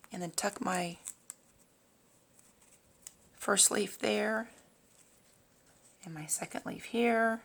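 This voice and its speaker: A woman hosting a casual craft tutorial in a raspy, hoarse voice, talking informally and a little haltingly as she works. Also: medium pitch, a bit bright, slight background noise. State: hoarse, throat-clearing from long talking. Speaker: host of a papercraft tutorial. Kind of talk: casual craft demonstration, talking while working.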